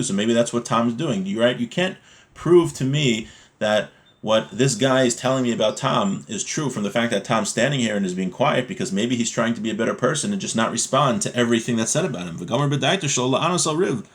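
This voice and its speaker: A man giving an informal religious lesson, explaining a text in a conversational way with rhetorical check-ins as a teacher. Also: on the low side.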